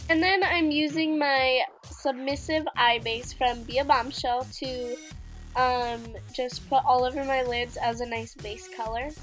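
A teenage girl with a high, nasal, congested voice talks through her beauty routine in a chatty way, full of "um" and "like". Music plays clearly under her narration. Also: steady tone, measured pace. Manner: chatty, with fillers like "um" and "like". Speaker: beauty vlogger. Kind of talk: casual get-ready-with-me voice-over. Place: home living room. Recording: music playing behind the voice.

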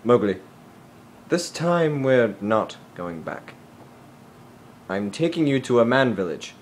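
An actor playing a stern, protective panther in an animated film, authoritative and easily exasperated. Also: male voice, mid-range voice, takes it slowly. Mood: grave, firm. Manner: authoritative, formal, scolding.

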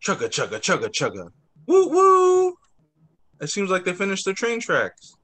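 A man teacher reading picture books aloud to young children on a video call, expressive, drawing out words and adding child-friendly asides. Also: high-pitched, normal speed. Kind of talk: animated read-aloud story time for young children.

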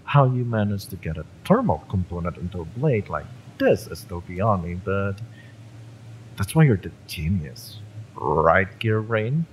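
A man's voice: sits low, very animated, measured pace, a little hiss or hum, low-quality recording. A man playing a cocky, fiery young cyberpunk mercenary in an audio roleplay, smug and menacing as he taunts. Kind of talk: dramatic audio roleplay, intimate villain-romance acting.

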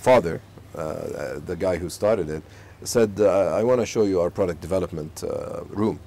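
Fast-talking low-voiced businessman. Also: gravelly, slight background noise. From Saudi Arabia. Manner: fast, animated storytelling full of fillers and restarts. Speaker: company CEO, interview guest. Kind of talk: casual interview talk. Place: outdoor garden beside a pool. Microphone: lapel mic.

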